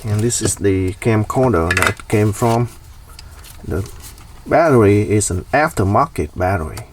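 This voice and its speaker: A man's voice: lowish voice, expressive, measured pace, recorded from a distance, background noise. A man presenting a casual, hands-on DIY explainer.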